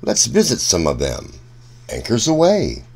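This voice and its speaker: Low-voiced man reading slowly, with lively swings. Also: gravelly, breathy edge. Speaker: children's story reader. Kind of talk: read-aloud of a rhyming children's book.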